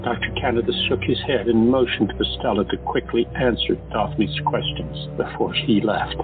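A man narrating an audio drama in a measured, steady way, on a lo-fi recording with music. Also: mid-range voice. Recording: lo-fi, phone-like, with music.

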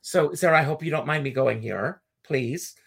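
Guy hosting a chat show, all effusive and excited to bring his guest on. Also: on the high side, normal speed, vocal fry, breathy edge. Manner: effusive, enthusiastic. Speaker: talk show host. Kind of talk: casual interview chat.

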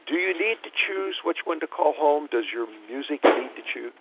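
A man's voice: on the high side, lively intonation, moderate pace, very noisy. A man hosting a radio interview, hesitant and restarting often. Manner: hesitant, many uh fillers and restarts. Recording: dull lo-fi radio recording.

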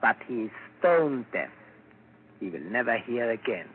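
A composed doctor, expressive intonation. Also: male voice, medium pitch, a little slow. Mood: probing, musing. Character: composed, probing male doctor treating mental cases. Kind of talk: 1940s radio drama acting. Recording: crackly, narrow-band 1940s radio broadcast.

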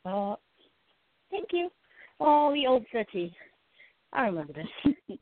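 A woman's voice: on the high side, sing-song, slow pace, dry recording. A woman co-hosting a casual chat show, speaking with a stuffy nose in an easygoing, apologetic way. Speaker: co-host. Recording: tinny phone-like line.

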